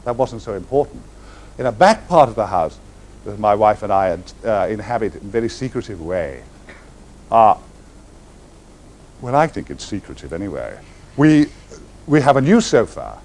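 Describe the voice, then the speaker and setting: A man's voice: big pitch swings, normal speed, long pauses, darkish tone. Flamboyant theatrical man spinning a yarn. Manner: grand, florid wording with comic timing and sudden swings in pitch. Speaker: actor telling stories on stage. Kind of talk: theatrical anecdote to a live audience. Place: stage before an audience.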